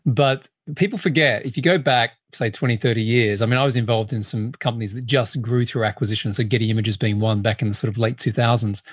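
A man's voice: medium pitch, fast, hardly any pauses, breathy edge, no echo, studio quality. A man hosting a business interview with a hybrid Australian accent mixed with English and American, chatty and enthusiastic. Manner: enthusiastic, chatty, laughs easily. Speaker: podcast host.

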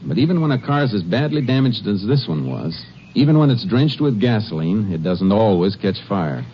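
A calm, methodical Texas Ranger in an old western radio play, with a very low voice. He speaks in terse, measured lines. The old recording is dull and clearly noisy. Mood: intent. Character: Texas Ranger, a calm, shrewd, methodical lawman who narrates. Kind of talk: 1950s radio drama acting.

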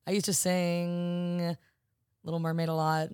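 Husky-voiced young woman comic. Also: on the low side, flat delivery, a little slow, darkish tone. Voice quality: husky, hoarse from stand-up. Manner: rambling, joking, many fillers. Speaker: podcast co-host, comedian. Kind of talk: casual chat.

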